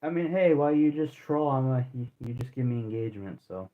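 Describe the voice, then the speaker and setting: A young man in his twenties streams a game and chats loosely with his viewers, rambling along with slang and restarts. His voice sits at a middling pitch. The sound is thin and tinny, like a phone line. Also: lively intonation, a little fast. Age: 20s. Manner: rambling and laid-back, heavy on slang and restarts. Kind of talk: casual live-stream chat while playing.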